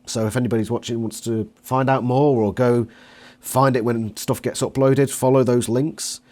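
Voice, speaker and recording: A British man chatting casually as an interviewer, jokey and quick to swear. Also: mid-range voice, fairly even intonation, a little mellow. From Britain.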